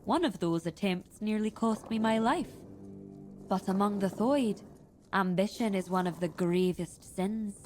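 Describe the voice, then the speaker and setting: Actress doing a slow talking fantasy game chieftain lady, proud n guarded, formal wording, bit of music behind. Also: medium pitch, darkish tone, lightly acted. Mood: wry, guarded. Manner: deliberate, formal, weighty phrasing. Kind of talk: dramatic fantasy game dialogue.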